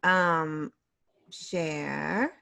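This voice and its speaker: A middle-aged woman with a slightly low-pitched voice talks casually as a guest in an interview. Her delivery is halting and hesitant, with phrases that break off and start again. She is speaking over a video call. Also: vocal fry, sharp tone. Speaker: guest author and creative writing teacher.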